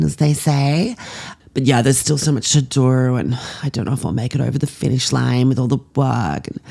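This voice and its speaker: A woman acts out a dramatic young Australian fashion student recording a confessional podcast. The voice sits low, with plenty of vocal fry. The delivery is gushing, slangy and full of self-absorbed melodrama. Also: a little mellow, full character voice. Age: young adult. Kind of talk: confessional solo podcast monologue.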